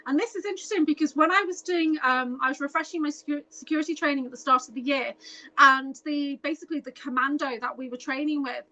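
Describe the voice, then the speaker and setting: An English woman with a softened Scouse accent, a bubbly guest chatting casually and laughing often. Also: high voice, quick pace, highs cut off. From northwest England, near Liverpool. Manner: bubbly, playful, tries out accents. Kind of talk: casual chat.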